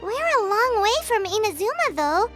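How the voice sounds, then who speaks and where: A little floating fairy sidekick for a video game. The voice is extremely high, lively and childlike, full of inquisitive chatter. Music plays clearly behind it. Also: female voice, a little fast. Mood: curious. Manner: refers to self in third person, inquisitive. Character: tiny childlike female floating fairy companion, curious, chatty. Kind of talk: English game voice acting.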